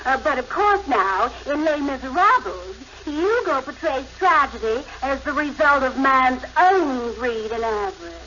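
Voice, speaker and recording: A muffled old radio recording with faint noise. a young, cultured society girl, high-pitched, genteel and gushing in a sitcom. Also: lively intonation, measured pace, few pauses, pushed and bright, heavily acted. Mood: admiring. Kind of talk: 1950s radio sitcom acting.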